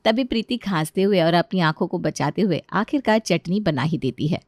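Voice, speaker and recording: A woman's voice: lowish voice, expressive, normal speed, a little mellow, dry recording. Woman narrating a story, dry recording.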